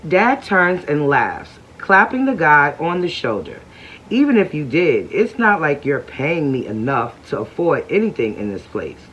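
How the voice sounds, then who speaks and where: An adult reading a novel aloud at home slowly and carefully, with small stumbles. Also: medium pitch, sing-song, fairly bright tone, highs cut off, slight background noise. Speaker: read-aloud reader and reading coach.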